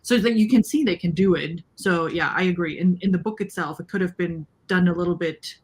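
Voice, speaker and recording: A woman's voice: lowish voice, fairly quick, husky. A woman talking casually and emphatically in a book discussion, often checking agreement as she goes.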